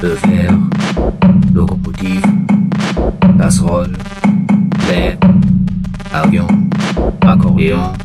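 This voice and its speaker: A man slowly reciting single words in a sound collage. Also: low voice, sing-song, creaky. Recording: roomy echo, loud music and percussive sounds.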